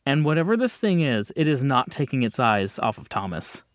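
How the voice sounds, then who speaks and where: A man narrates an improvised horror scene as game master. His medium-pitched voice is conversational, moving in pauses and bursts, with a very dry sound. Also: very animated, no room sound.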